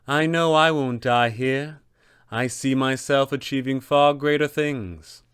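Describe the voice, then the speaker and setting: A man voicing a haughty, scheming female wizard diviner with a measured, disdainful delivery. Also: mid-range voice, fairly even intonation, fairly bright tone, clear, resonant tone, no room sound, studio quality. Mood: defiant.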